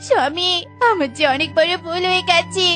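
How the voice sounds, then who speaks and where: A woman's voice: very high voice, moderate pace, over music. A woman voicing a naive, melodramatic animal wife in a comic cartoon, with a whiny, childlike manner. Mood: dramatic distress. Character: silly, naive animal wife, excitable and dramatic. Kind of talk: exaggerated comic cartoon acting.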